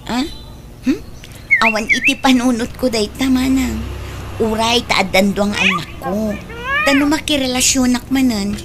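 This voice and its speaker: A woman's voice: fairly high pitch, unhurried, long pauses, very noisy, lightly acted. A woman playing a hesitant, reluctant younger sister with an Ilocano accent in a comedy radio drama, speaking in a questioning way. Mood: reluctant, doubtful. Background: loud music.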